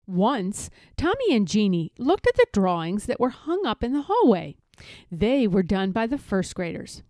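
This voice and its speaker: A woman narrating a children's storybook aloud in a measured, expressive manner. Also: mid-range voice, dry recording, clean studio sound. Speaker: storybook reader.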